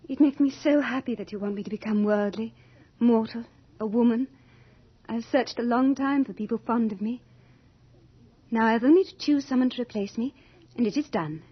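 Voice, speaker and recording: Young Lady Death, husky and yearning. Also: a little high. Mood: wistful, imperious. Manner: theatrical, deliberate, breathy at moments. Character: young, beautiful female Death, capricious, yearning to be mortal. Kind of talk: radio horror drama acting. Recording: dull old radio drama with music.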